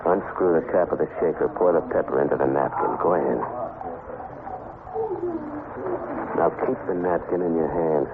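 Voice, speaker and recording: An actor playing a tough, wisecracking private detective in 1950s radio crime drama, sardonic, clipped and threatening. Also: male voice, rumbling low, fast talker. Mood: brisk, commanding. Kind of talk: 1950s radio crime drama acting, second-person narration. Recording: muffled, noisy 1950s radio broadcast recording.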